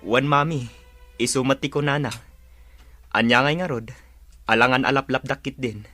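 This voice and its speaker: A man's voice: mid-range voice, moderate pace, pushed and bright, soft background music, lightly acted. A man playing an impatient young husband in an Ilocano radio drama, torn between wife and mother. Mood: defensive.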